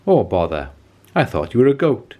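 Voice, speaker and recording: A man's voice: lowish voice, big pitch swings, moderate pace, highs cut off. Man as hungry bridge troll, grumpy, roaring, swooping intonation, children's storybook. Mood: disappointed. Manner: roaring, bellowing challenges and gruff grumbles.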